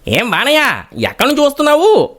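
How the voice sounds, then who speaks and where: A man playing earnest, aggrieved village men in storybook dialogue, expressive and questioning. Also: very high voice, fast, exaggerated voice. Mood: surprised, troubled.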